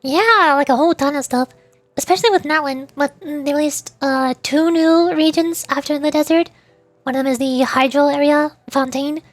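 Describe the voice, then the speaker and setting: High-voiced, giggly female streamer. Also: moderate pace, no room sound. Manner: playful, giggly, chatty. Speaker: VTuber streamer. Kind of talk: casual game commentary.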